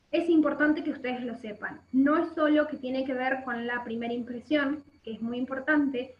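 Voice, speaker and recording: A woman with an Argentine accent giving an explanatory online workshop, often checking in with short questions, over a video call. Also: high voice. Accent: Argentine Spanish. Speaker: workshop instructor. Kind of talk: instructional webinar talk. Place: indoor room by a window. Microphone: webcam video call. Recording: muffled, echoey video-call audio.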